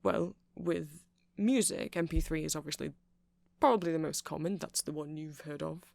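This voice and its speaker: A man playing a nerdy male vampire in an ASMR roleplay, rambling haltingly and passionately to a listener as he explains things. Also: on the high side, vocal fry, darkish tone, no echo. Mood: enthusiastic explaining.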